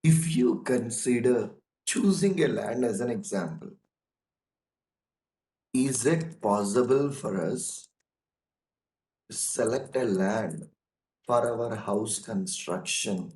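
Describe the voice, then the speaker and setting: A hoarse man with a cold, teaching a casual online lesson on software testing, calling his listeners "guys" and repeating his points. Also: medium pitch, animated, echoey room, poor audio. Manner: slow and repetitive, addresses listeners as "guys".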